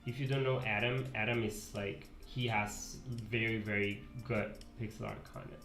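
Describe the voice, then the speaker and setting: A man's voice: on the low side, flat delivery, normal speed, a bit bright, echoey room, faint music behind. A man in his twenties with an Italian accent, chatting casually on a live stream while drawing, wandering and correcting himself mid-sentence. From Italy. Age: 20s. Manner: rambling, self-correcting, reads chat aloud. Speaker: pixel art streamer. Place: home desk room. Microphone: headset.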